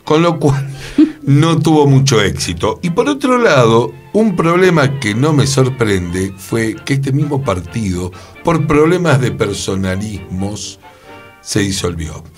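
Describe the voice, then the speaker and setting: A man's voice: mid-range voice, very animated, a little slow, soft background music. A man with an Argentine Rioplatense accent co-hosting a radio talk, telling theatre history in a chatty, conversational way with rhetorical questions. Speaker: radio co-host, actor.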